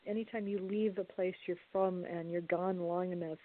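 A woman chatting casually as an interviewed cast member, halting and repeating words, with tinny call audio. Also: lowish voice, steady tone. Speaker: radio play cast member being interviewed. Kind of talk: casual chat.

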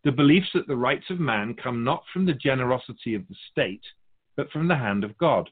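A man acts as a wise spirit guide who answers questions about human souls. The delivery is measured and philosophical, gently instructive, with a medium male pitch that rises and falls in an animated way. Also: narrow, tinny audio, lightly acted. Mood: reflective, explaining.

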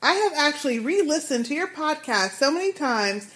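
A woman's voice: high-pitched, big pitch swings, moderate pace, fairly bright tone. A woman co-hosting a podcast, chatting casually and reading out listener emails with playful laughs. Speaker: podcast co-host.

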